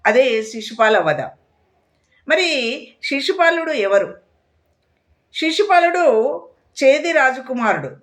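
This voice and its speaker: A woman's voice: high-pitched, sing-song, takes it slowly, pauses often. A woman storyteller telling a moral tale expressively, speaking directly to her listeners.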